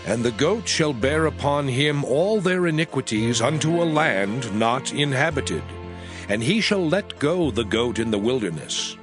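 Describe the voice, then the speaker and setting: A man's voice: medium pitch, expressive. Solemn male scripture narrator over music. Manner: solemn, measured, declamatory. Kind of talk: dramatized audio Bible reading, formal narration.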